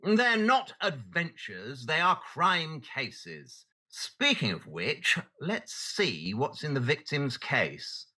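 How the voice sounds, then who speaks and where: An actor playing an arrogant, brilliant Victorian detective who lectures condescendingly in an audio drama. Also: male voice, a little high, huge pitch swings, takes it slowly, few pauses, bright, forceful tone, heavily acted. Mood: dismissive. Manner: pompous, lecturing, cutting.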